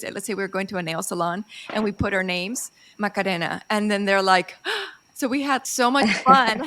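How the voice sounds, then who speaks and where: A middle-aged woman from Chile hosting a podcast, chatting casually, bubbly and quick to laugh as she tells stories. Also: mid-range voice. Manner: bubbly, laughs often, animated storytelling. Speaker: podcast host. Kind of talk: casual interview chat.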